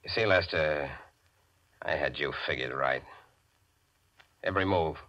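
An actor playing a sly, mocking tough guy in a 1940s radio crime drama, taunting and coolly menacing in slangy, deliberate speech. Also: extremely low pitch. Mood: gloating, menacing. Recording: dull vintage radio recording.

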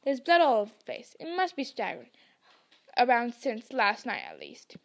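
A woman voicing a huge, gruff, kindly male gamekeeper who talks bluntly. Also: fairly high pitch, huge pitch swings, moderate pace, fairly bright tone, exaggerated voice.

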